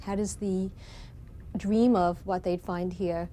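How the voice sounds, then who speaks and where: Mellow low-voiced teacher, reflective. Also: female voice, fairly even intonation, slow pace, slight background noise. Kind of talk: interview.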